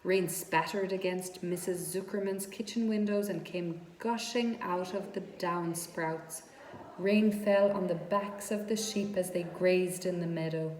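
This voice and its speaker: A woman reading a children's story aloud as narrator, with deliberate, expressive phrasing. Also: fairly low pitch. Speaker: storybook reader. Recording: echoey reverberant room with background noise.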